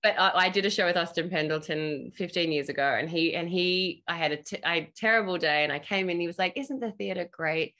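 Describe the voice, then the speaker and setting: Bright, forceful woman telling an anecdote. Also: lowish voice, a little fast, hardly any pauses. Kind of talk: animated anecdote in a talkback. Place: indoors, on a video call. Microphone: video call.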